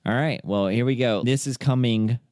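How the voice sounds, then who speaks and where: A man's voice: mid-range voice, normal speed, darkish tone, no room sound, clean studio sound. A man hosting a casual podcast, bantering and teasing as he poses quiz questions to his co-host. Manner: teasing, quizzing.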